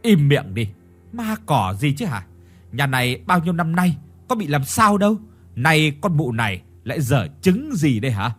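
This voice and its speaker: Man voicing a bad-tempered husband, loud scolding, husky, blustering, animated. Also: mid-range voice, normal speed, soft background music. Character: gruff, short-tempered older village husband.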